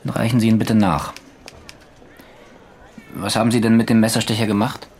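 Cool, low-voiced police interrogator played by an actor. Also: male voice, flat delivery, normal speed, darkish tone, a little hiss or hum, natural voice. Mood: cool, insistent. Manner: formal, pointed, controlled. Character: cool, probing male police interrogator, formal and relentless. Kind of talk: German radio drama acting.

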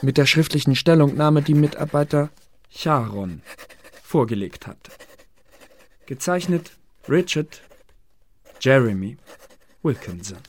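A man's voice: fairly high pitch, expressive, slow pace, long pauses, heavily acted. Dry, formal male record keeper. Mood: formal, detached. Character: male administrator and record keeper closing a dossier, dryly formal. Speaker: actor in radio play. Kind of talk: formal reading of an official record.

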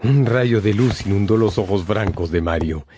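Low-pitched male dramatic narrator. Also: normal speed, warm tone, slight background noise. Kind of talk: dramatic scripted radio narration. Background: music.

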